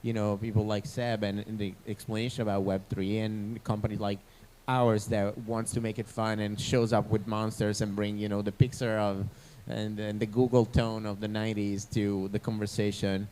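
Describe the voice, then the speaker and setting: A man moderating a panel who speaks quickly and pitches ideas with enthusiasm. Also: flat delivery, a little mellow, rough recording. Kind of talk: online panel discussion.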